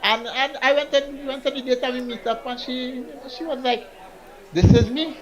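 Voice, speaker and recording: A man's voice: very high voice, steady tone, normal speed, rough recording. A young man co-hosting a podcast, telling stories with lots of laughter and quoted voices. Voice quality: laughs often, storytelling, quotes people in put-on lines. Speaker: podcast co-host. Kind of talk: casual chat.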